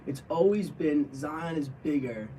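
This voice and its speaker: A man co-hosting a casual sports debate, talking excitedly and restarting his sentences as he hypes players. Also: on the high side, unhurried, far from the mic, a little hiss or hum, rough recording. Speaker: co-host.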